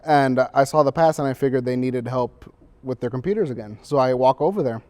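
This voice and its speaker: A man's voice: medium pitch, a little fast, dark tone. A teenage male student actor recounting things casually in an interview. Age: teens, high school student.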